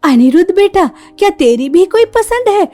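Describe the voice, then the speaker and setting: Woman playing a high, mellow-voiced village mother. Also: sing-song, moderate pace. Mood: fond. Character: gentle, long-suffering ailing village mother of grown sons. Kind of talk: Hindi cartoon story voice acting.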